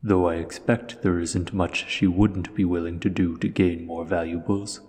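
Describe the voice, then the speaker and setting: A huge, proud dragon, played by a man, speaks very low and dark in a long, cavernous reverb. He is measured and formal, a haughty, learned hoarder addressing his guest in a fantasy audio roleplay. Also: little pitch movement, natural voice. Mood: disdainful. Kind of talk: audio roleplay, scripted monologue to the listener.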